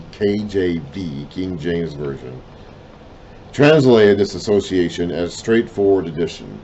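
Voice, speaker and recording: Low deep-voiced man teaching slowly. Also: warm tone, muffled recording, a little hiss or hum. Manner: deliberate, apologetic asides, trailing off at phrase ends. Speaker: Bible teacher. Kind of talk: reading and explaining a lesson.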